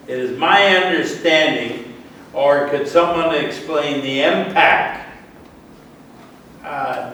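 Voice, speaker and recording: A man's voice: on the high side, a bit bright. A man speaking from the floor at a town-hall debate, saying he has a strong voice and using it to make a comment and ask a question. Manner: says he has a strong, carrying voice. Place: public meeting hall. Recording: echoey hall, distant mic, faint noise.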